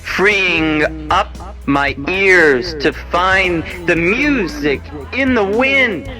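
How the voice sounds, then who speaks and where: Man, fairly high voice, extremely slow theatrical poetry recital, broken phrases, clear music behind. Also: expressive.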